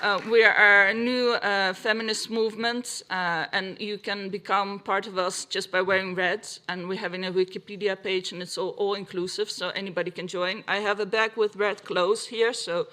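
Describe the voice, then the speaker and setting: Woman, activist, halting, lots of um's, crisp bright tone. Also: mid-range voice, flat delivery. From Ukraine. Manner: hesitant, halting with fillers. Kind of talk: spontaneous public speaking.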